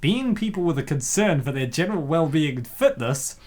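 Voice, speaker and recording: Comic dub host and narrator, medium pitch, casual and joking, given to rambling commentary. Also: sing-song, moderate pace, a little mellow. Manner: jokey, rambling asides.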